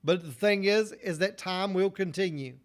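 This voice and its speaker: A man with a fairly high voice gives a motivational talk to listeners. He speaks earnestly and directly, circling back over his phrases with a preacher-like rhythm. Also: moderate pace. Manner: repeats phrases for emphasis, preacher-like cadence.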